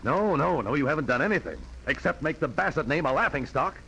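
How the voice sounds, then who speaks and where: A man's voice: a little high, expressive, fast, gravelly, bright, forceful tone, exaggerated voice. A man playing a stern, pompous businessman, clipped and haughty, in 1940s radio drama style. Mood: furious. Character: stern, indignant, pompous businessman older brother. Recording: noisy 1940s radio recording.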